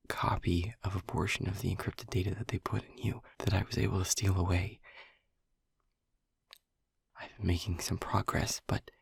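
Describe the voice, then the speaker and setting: A man voicing an anxious, devoted technician lover who whispers his pleas in a husky, creaky voice and stammers as he talks. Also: deep voice, no room sound, exaggerated voice. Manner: hesitant and stammering, pleading. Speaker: audio roleplay performer. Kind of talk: intimate whispered audio roleplay.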